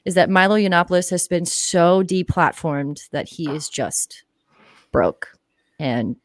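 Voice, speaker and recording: Female stream co-commentator, lower register, casual, sardonic and profane, dry recording. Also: lively intonation, unhurried, dry recording. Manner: blunt, sardonic, sweary. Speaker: guest co-commentator on a live stream.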